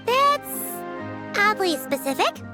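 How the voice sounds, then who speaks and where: Super high squeaky little fairy mascot, cheerful and eager, game voice, music behind. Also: female voice, sing-song, slow pace, heavily acted. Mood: agreeable, curious. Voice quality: squeaky, childlike. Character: tiny cheerful childlike fairy companion, caring and eager. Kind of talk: video game voice acting. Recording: background music under the voice.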